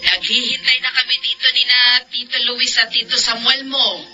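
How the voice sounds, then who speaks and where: A old grandmother, doting and full of remorse, in a radio drama. Her voice is high and piercingly bright, effusive, on a dull recording with faint noise. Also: steady tone, normal speed, faint music behind. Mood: warm, cheerful. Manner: effusive, emotional. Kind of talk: Filipino radio drama acting. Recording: dull, noisy phone-call scene.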